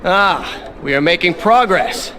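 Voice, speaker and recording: A man's voice: high-pitched, animated, slow pace, background noise. A man playing a proud, determined young warrior prince in a video game, earnest with a streak of dry sarcasm. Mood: serious. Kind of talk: video game cutscene acting. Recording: loud music behind the voice.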